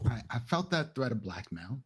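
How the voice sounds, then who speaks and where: A man playing a wry, cocky young vampire in a tabletop roleplay, with a dry, deadpan delivery. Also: medium pitch, normal speed, husky. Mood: wry, needling.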